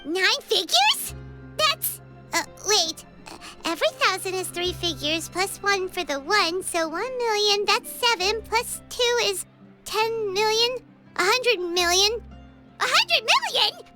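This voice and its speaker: Little game fairy, extremely high squeaky child voice, excitable, skeptical, exclamatory, loud music behind. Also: female voice, takes it slowly, full character voice. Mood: puzzled, amazed. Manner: exclamatory, incredulous. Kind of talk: video game voice acting.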